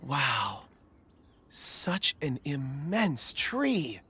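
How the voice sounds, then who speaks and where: A man playing a gentle, thoughtful young stone-reader in a video game, with a mild and hesitant manner. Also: mid-range voice, very animated, very slow, breathy edge, exaggerated voice. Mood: curious, unsure. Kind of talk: video game character acting. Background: game background music.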